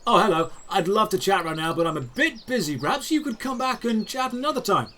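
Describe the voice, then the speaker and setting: A man voicing an agitated cart driver in a fantasy audio drama, hurried and preoccupied but polite. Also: sits high, lively intonation, pushed and bright, voice pushed up. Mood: flustered, polite. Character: flustered, agitated male cart driver, politely brushing people off.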